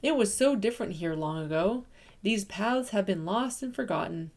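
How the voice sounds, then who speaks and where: A woman voicing a curious, gentle female forest animal who loves maps, speaking softly and with wonder. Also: mid-range voice, lively intonation, moderate pace. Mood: wistful.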